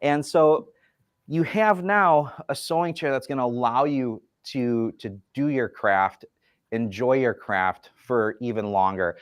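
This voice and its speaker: This is a man in the middle of an upbeat product demonstration, talking folksily about comfort and posture. His voice sits in an ordinary pitch range, and the sound is dry and close with little room around it. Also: measured pace, no room sound. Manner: enthusiastic, explanatory, folksy. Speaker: furniture company representative.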